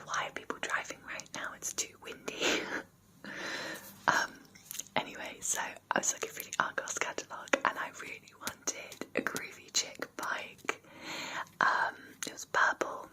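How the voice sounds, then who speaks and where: A young British woman whispering personal memories in a soft, rambling, sentimental ASMR style with many ums. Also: rough recording. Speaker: ASMR creator.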